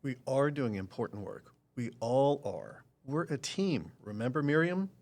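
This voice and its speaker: Animated, loyal male office colleague. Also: medium pitch, unhurried. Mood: wistful, insistent. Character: loyal, wistful man, supportive veteran office colleague. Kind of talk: audio drama dialogue.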